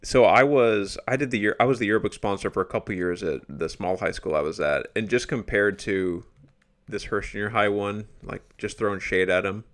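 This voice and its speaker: A chatty American podcast host in his forties with a fairly low voice. He talks casually, as if catching up and reminiscing with someone he knows. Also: moderate pace, fairly bright tone. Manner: chatty, reminiscing, chuckles often. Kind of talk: casual conversational interview.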